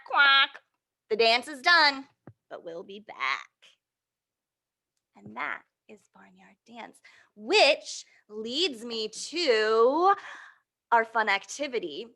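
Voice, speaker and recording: A woman with a fairly high voice leads a storytime for young children. She reads in an animated, playful style and guides little listeners through actions. Her manner is encouraging, often checking in with them as she goes. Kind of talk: animated picture-book reading and action songs for young children.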